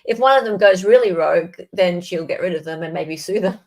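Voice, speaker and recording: A woman's voice: on the low side, brisk, darkish tone. A woman chatting casually as host of a livestream.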